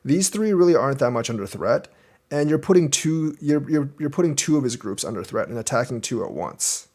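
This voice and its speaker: Young adult male Go teacher, casual game review, thinks aloud move by move, mid-range pitch. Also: expressive, a little fast, darkish tone. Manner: thinks aloud, explaining moves step by step.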